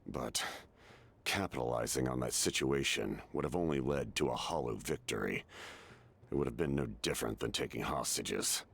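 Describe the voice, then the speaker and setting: An actor playing a weary, proud ancient warrior in a video game, speaking slowly and gravely with a deep, gravelly voice. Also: male voice, fairly bright tone, heavily acted. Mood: grave, resolute. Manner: slow, measured, grave. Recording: background music under the voice.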